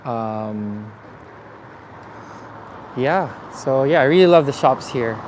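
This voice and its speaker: A man from the Philippines hosting a live walking tour outdoors, talking in a relaxed, chatty way with many fillers. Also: medium pitch, takes it slowly. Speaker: vlogger. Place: city streets outdoors. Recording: faint street noise outdoors. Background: street traffic and ambience.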